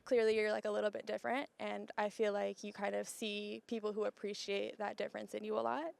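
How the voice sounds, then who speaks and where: Young American female interviewee, heavy vocal fry, informal delivery full of "like". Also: medium pitch, level tone, fairly quick. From United States. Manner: informal, peppers speech with "like". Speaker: interviewee, working model. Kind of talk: casual street-interview answers.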